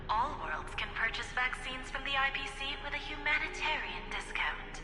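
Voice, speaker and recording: A woman playing a poised, calculating female executive in a video game, speaking in a breathy, smooth, measured and courteous way. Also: sits high, expressive. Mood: courteous, persuasive. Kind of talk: video game cutscene acting. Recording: echoey hall reverb, game music behind.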